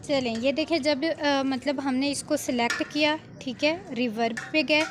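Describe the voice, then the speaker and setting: High-voiced woman tutor, noisy recording. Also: fairly even intonation, measured pace. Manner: informal, with repeated check-in tags. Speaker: video editing tutor. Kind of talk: step-by-step software tutorial narration.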